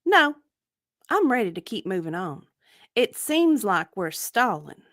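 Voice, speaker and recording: A woman's voice: medium pitch, very animated, measured pace, fairly bright tone, dry recording, clean studio sound. A woman playing a defensive, indignant witness in a dramatic reading of a deposition, evasive and insistent in clipped answers. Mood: impatient.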